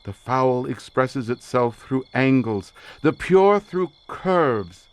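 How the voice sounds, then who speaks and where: An actor playing a feverish, grandiose researcher near madness, declaiming with portentous fervor in a horror audio drama. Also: male voice, mid-range voice, lively intonation, slow, smooth, dry recording. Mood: haunted, fervent. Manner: theatrical, portentous, fervent declamation.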